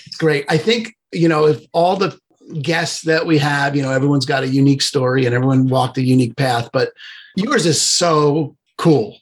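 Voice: medium pitch, normal speed. A podcast host who asks friendly, rambling questions full of "you know.". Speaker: interview host. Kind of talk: casual interview chat.

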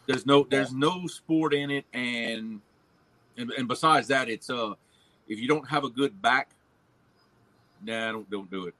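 A man's voice: medium pitch, a little slow, long pauses, bright, forceful tone, dry recording. A man from Louisiana with a Cajun accent, chatting casually about fishing and often repeating his words as he starts a sentence. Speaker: guest angler on a fishing livestream. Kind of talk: casual chat.

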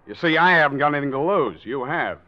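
Actor as scheming nephew, smooth, falsely soothing, menacing undertone, muffled vintage radio sound. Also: male voice, on the high side, lively intonation, quick pace, natural voice. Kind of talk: 1940s radio acting. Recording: dull old radio recording.

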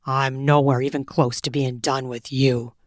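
A stern, possessive young man, as a woman reader puts him on, murmuring his commands low and slow. The voice sits deep and even, and the recording is close and dry with no room sound. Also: no room sound. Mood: commanding.

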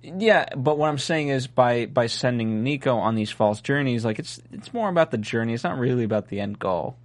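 A man with a raspy voice co-hosting a casual TV recap chat, rambling through his points. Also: medium pitch, expressive, a little fast, no echo. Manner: rambling, hesitant, many restarts. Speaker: podcast co-host.

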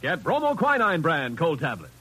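A man's voice: on the high side, huge pitch swings, moderate pace. A male radio announcer reading in a polished, emphatic 1950s network style. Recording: vintage 1950s radio recording.

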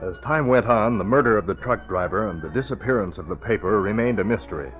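A man's voice: lowish voice, fast talker. A man narrating as the announcer of a 1940s radio drama, in a scripted old-time style. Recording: tinny old radio recording, loud music.

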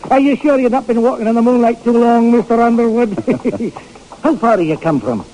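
A jovial traveling tinker. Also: male voice, extremely high pitch, a little fast, mellow, rough recording. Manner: folksy, laughing, old-fashioned turns of phrase. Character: jovial traveling tinker man, hearty and teasing. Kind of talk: 1950s radio drama acting. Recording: old radio broadcast with faint hiss.

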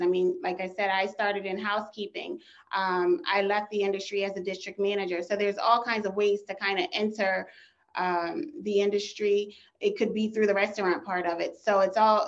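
A woman guest from Maryland's Eastern Shore talks about her business in an upbeat, casual way, with frequent "um"s. Her voice sits mid pitch, and it comes through a slightly dull, lo-fi line. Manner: upbeat, many "um" fillers. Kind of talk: casual interview.

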